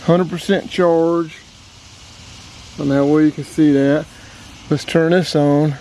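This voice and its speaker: A man's voice: a little high, steady tone, measured pace, warm tone, a little hiss or hum, rough recording. An American man giving a casual hands-on demo, talking straight to viewers in a folksy way. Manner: folksy, addresses viewers directly. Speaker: outdoors channel host and radio operator. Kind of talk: casual hands-on demonstration vlog.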